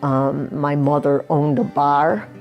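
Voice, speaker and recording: A woman in her sixties with a very low voice and a heavy, gravelly rasp. She speaks slowly, plainly and candidly. Soft guitar plays faintly behind her. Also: flat delivery, muffled recording. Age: 60s. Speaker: person in recovery. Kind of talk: personal testimony interview.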